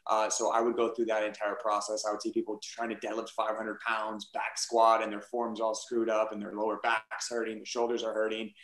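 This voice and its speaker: A man, a fitness studio founder, answering interview questions in a fast, energetic, salesy way. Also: roomy sound, rough recording. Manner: fast-talking, energetic pitch.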